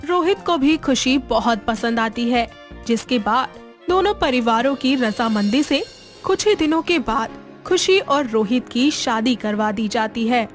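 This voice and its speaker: Female narrator for scripted moral stories, slightly high pitch, music underneath. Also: lively intonation, measured pace.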